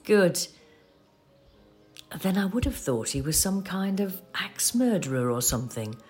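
An actress playing a patient, practical elderly wife in an audio play, explaining things in a fond, conversational way. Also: female voice, fairly low pitch, big pitch swings, a little slow, soft background music. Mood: reminiscing. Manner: conversational, gently explaining, reassuring. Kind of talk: audio play acting with reflective narration.

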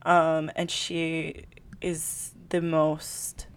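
Chatty young woman, lowish voice. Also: monotone, very slow, clear, resonant tone. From California, USA. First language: Tagalog. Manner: chatty, rambling, full of "like" and laughter. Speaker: podcast co-host. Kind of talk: casual podcast chat.

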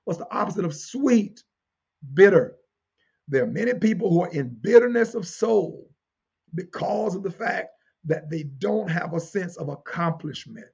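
A man preaching a teaching sermon in an emphatic, deliberate way, repeating key phrases and posing rhetorical questions to his listeners. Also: high-pitched, lively intonation, vocal fry, darkish tone, breathy edge, dull audio.

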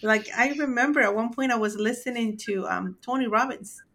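A woman's voice: a little high, brisk, fairly bright tone. A woman hosting an interview podcast, speaking in an earnest, encouraging way. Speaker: podcast host.

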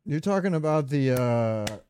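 A man's voice: medium pitch, expressive, unhurried, a little mellow, slight background noise. A man chatting casually and crudely as a podcast co-host, swearing freely. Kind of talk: casual banter about game sounds.

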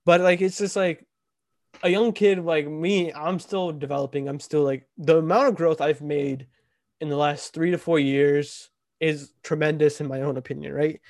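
Young male podcast host, 20, loose filler-heavy rambling, higher-pitched, dry and close-miked. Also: steady tone, moderate pace, a little mellow, no room sound. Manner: rambling, filler-heavy, keeps asking "right?". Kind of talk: casual podcast chat.

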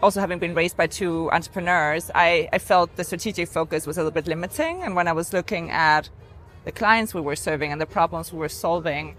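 Lower-voiced Danish woman telling her story. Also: fairly quick, fairly bright tone. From Denmark. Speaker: podcast guest, entrepreneur. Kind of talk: conversational interview storytelling.

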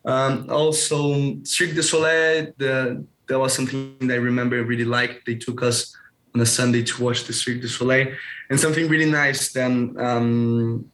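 A man's voice: mid-range voice, fairly bright tone, poor audio. A young man from Brazil with a Brazilian Portuguese accent, answering interview questions casually and searching for words as he goes. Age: young adult. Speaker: interview guest, school alumnus.

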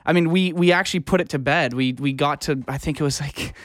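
A man's voice: on the high side, fast, a bit bright, no room sound. Casual man with a dry, close sound. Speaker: music producer and audio engineer guest. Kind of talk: casual interview chat.

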